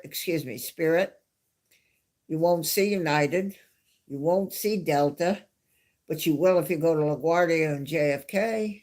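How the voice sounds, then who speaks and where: Slow, chatty New York woman. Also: low-pitched. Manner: rambling, chatty, with frequent soft laughs. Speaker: livestream host. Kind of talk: casual live-stream chat.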